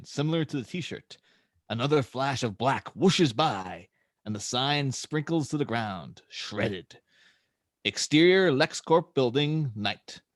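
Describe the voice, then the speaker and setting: A man's voice: medium pitch, no echo, clean studio sound. A man narrating screenplay stage directions at an amateur table read.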